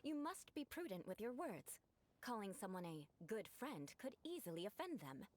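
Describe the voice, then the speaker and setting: A woman's voice: mid-range voice, big pitch swings, normal speed. A woman playing a proud, formal young aristocratic knight in a video game, haughty and precise in manner. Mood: lecturing.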